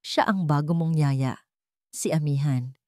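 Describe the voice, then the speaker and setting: A woman's voice: low voice, unhurried, a little mellow, dry recording, studio quality. Woman acting a cold, controlling fiancée. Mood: curt, annoyed. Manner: commanding, with veiled threats. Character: cold, controlling, menacing fiancée with falsely sweet manners. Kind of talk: audio drama character dialogue.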